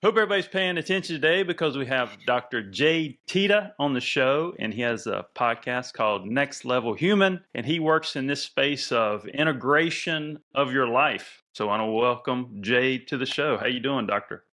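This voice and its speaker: A man's voice: medium pitch, animated, normal speed, few pauses, sharp tone. A man hosting a podcast, asking loose, rambling questions in casual interview chat. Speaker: podcast host.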